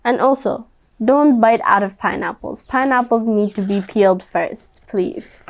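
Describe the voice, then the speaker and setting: A woman's voice: fairly high pitch, unhurried. A woman reading a picture book aloud to children, conversational and speaking directly to her young listeners. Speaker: storybook reader.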